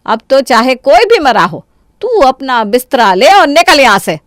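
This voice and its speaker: Woman as middle-aged mother-in-law, cartoon villainess, bossy, sharp-tongued, scheming, high-ish animated voice. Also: moderate pace, no room sound, studio quality. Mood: angry scolding. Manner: sharp, taunting, bossy delivery. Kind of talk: Hindi cartoon story dialogue.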